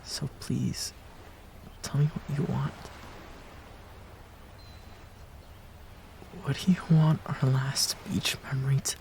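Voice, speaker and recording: A man acting a heartbroken ex-boyfriend in an intimate romantic audio roleplay, pleading in a halting, breathy, emotional way. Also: medium pitch, flat delivery, a little mellow, in a whisper. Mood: quiet, pleading.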